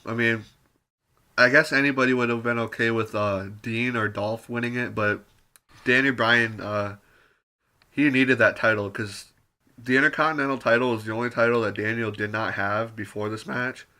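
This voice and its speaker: A man giving a rambling, casual spoken review full of fillers and mild swearing, his voice turning raspy with occasional coughs. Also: medium pitch, steady tone, moderate pace, sharp tone. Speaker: wrestling show reviewer.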